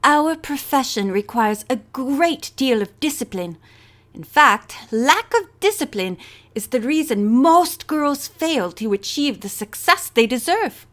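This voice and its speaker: A woman's voice: high voice, lively intonation, measured pace, fairly bright tone. A woman voicing an elegant French former model in her thirties, giving a formal speech with a faint French accent. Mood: brisk, instructive. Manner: formal, poised speech-making.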